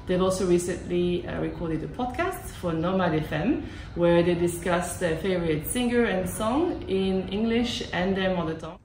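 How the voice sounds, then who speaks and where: A woman in her thirties, a school language program coordinator, answering interview questions in a measured way with many fillers, seated in a classroom. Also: on the low side, a little mellow, a little hiss or hum. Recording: echoey classroom with music behind.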